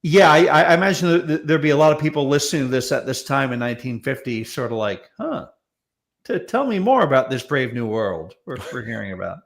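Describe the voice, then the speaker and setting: A man with a creaky, gravelly voice at a middling pitch talks as a podcast panelist. His casual commentary is musing, hesitant and wry. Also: big pitch swings, a little fast.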